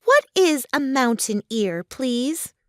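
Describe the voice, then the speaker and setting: A woman's voice: high voice, big pitch swings, slow, no echo, studio quality, voice pushed up, full character voice. A woman voicing a curious, polite and outspoken little girl in a storybook, with a childlike, wondering manner.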